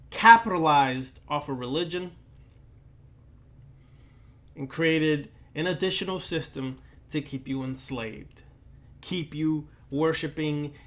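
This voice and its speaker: A man lecturing like a preacher, speaking deliberately and emphatically, with repetition and rhetorical questions. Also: a little high. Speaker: occult lecturer.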